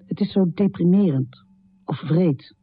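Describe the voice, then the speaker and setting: An actress playing a troubled, confessional middle-aged woman poet in a radio drama, speaking intimately with a low, husky voice. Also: a little slow, phone-quality sound. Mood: regretful. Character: troubled, confessional American woman poet, heavy drinker, death-obsessed. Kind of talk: radio drama monologue and poetry recital.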